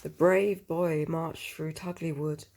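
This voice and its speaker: A woman with a husky voice reading a picture book aloud to a child, expressively, with chatty questioning asides. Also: deep voice, fairly even intonation, takes it slowly, a little mellow.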